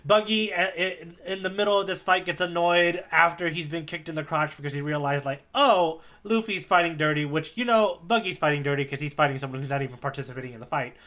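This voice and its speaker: A man's voice: a little high, quick pace, hardly any pauses, sharp tone. A man co-hosting a podcast, telling a story in a casual, rambling, jokey way. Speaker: podcast co-host. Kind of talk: casual chat recapping a manga chapter.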